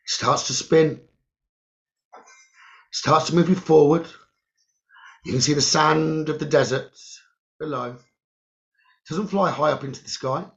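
A man leading a guided meditation in short, halting phrases with long pauses and a creaky voice. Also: a little high, a bit bright. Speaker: guided meditation leader, psychic medium. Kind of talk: slow guided meditation narration. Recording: dull, lo-fi sound.

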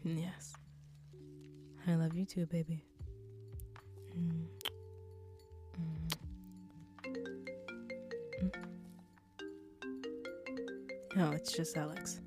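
A woman's voice: low voice, flat delivery, extremely slow, pauses often, warm tone, soft background music. A woman voicing an assured female mafia boss who is teasing and affectionate with her girlfriend, in an intimate audio roleplay. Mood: tired, tender.

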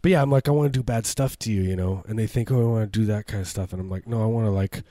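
A man with a low, mellow baritone riffs through absurd podcast banter in a flat, deadpan way. He rambles, piling up fillers and restarts. His voice sounds close and dry, with no room around it. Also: very fast, no room sound. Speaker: podcast co-host.

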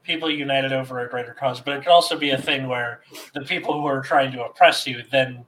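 A man's voice: medium pitch, steady tone, quick pace, a bit bright, low-quality recording. A man in a casual podcast chat who mostly chimes in with short agreements and jokes. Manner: agreeable, short interjections, jokey. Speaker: podcast co-host.

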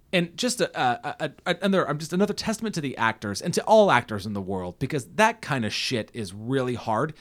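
A man co-hosting a podcast, talking about a film in casual chat with a halting, enthusiastic manner and many restarts. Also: mid-range voice. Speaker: podcast co-host.

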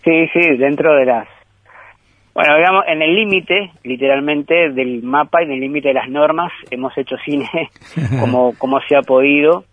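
A man's voice: on the high side, moderate pace, fairly bright tone, no echo. Guy on a tinny phone line, dry sound, rambling thoughtful filmmaker talk. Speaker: guest filmmaker. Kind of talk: conversational interview over the phone.

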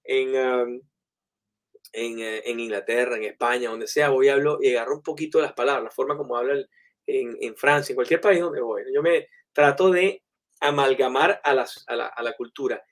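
A man telling animated, anecdotal stories in a relaxed conversation. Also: mid-range voice, moderate pace. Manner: animated, anecdotal, many fillers. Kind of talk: casual storytelling in conversation.

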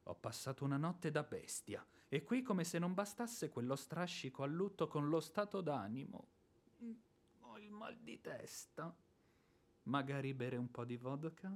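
An actor playing a boorish, hot-tempered ex-officer creditor in a radio drama, sarcastic and theatrical, moving between muttering and bluster. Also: male voice, a little high, big pitch swings, a little slow, full character voice. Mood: grumbling, weary. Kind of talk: radio drama stage acting.

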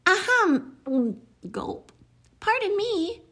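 A woman voicing a tiny, timid male mouse who squeaks and stammers shyly. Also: wildly swooping pitch, takes it slowly, a bit bright, full character voice. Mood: timid, nervous.

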